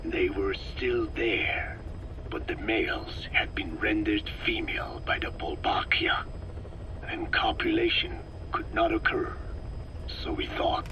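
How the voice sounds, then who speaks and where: A whispering gravelly old scientist. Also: male voice, on the low side, huge pitch swings, exaggerated voice. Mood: clinical. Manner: slow, lecturing, measured. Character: cold elderly male parasite scientist, calm and clinical. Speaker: scientist explaining parasites. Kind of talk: game audio log acting. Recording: noisy game audio tape.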